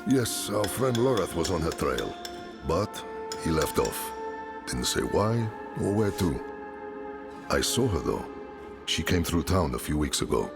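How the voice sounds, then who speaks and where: A kindly village carpenter in a game cinematic. His voice is very low and gravelly, with swooping intonation and a thoughtful, halting delivery. Music plays behind him. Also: very animated, heavily acted. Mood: solemn. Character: kindly gruff male village carpenter and father. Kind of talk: video game cinematic acting.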